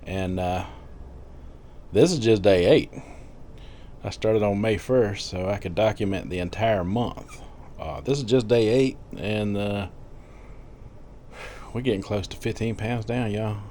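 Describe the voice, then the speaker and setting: Chatty man in his fifties, low voice. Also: measured pace, long pauses, a little hiss or hum. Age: 50s. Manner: chatty, rambling, with frequent fillers and soft laughs. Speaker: vlogger. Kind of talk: casual diet vlog.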